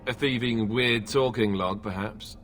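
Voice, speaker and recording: Wary, sardonic fantasy warrior. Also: male voice, fairly low pitch, unhurried, fairly bright tone, soft background music. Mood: accusing, sarcastic. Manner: dry, suspicious. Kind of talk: fantasy video game acting.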